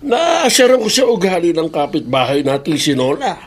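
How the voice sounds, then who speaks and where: Elderly, caring, easily riled father, Filipino radio drama. High, raspy, animated. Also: moderate pace. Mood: indignant.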